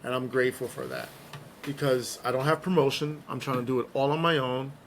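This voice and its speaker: A man hosting a casual podcast, slightly hoarse, playful and joking as he gives shout-outs and talks news. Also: mid-range voice, fairly even intonation, a little fast, slight background noise. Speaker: podcast host.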